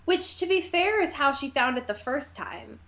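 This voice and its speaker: A woman's voice: sits high, expressive, moderate pace, fairly bright tone. A woman co-hosting a casual book podcast, talking in a sarcastic, slangy, opinionated way. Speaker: podcast co-host. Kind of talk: casual book discussion chat.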